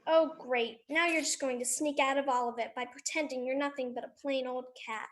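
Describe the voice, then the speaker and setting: A woman's voice: high voice, fairly even intonation, a little fast, slight background noise, close to an everyday voice. A woman playing an earnest, bossy little girl in a live radio drama, speaking emphatically. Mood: indignant.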